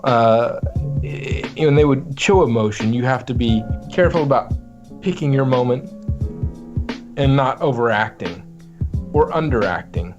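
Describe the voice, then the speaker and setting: A man speaking as a guest voice actor in casual podcast chat, thinking aloud in a halting, reflective way. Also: medium pitch, expressive, muffled recording. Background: music.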